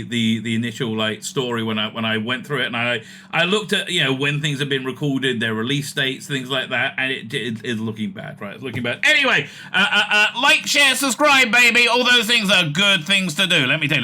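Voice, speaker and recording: A man's voice: mid-range voice, pushed and bright. A man hosting his own online show, giving rambling, opinionated, jokey commentary, often digressing and repeating words and phrases. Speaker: YouTube show host; rabbi.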